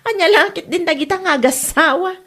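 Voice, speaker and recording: A woman's voice: extremely high pitch, a little fast, sharp tone. A woman with an Ilocano accent playing a sceptical, gossiping friend in a comedy radio drama. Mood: scornful gossip.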